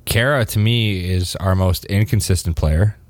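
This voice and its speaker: A man on a casual sports podcast, easygoing and agreeable, but he gets going once he has an opinion. His voice sits on the low side. The sound is close and dry. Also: normal speed, no room sound. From Canada. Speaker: podcast co-host.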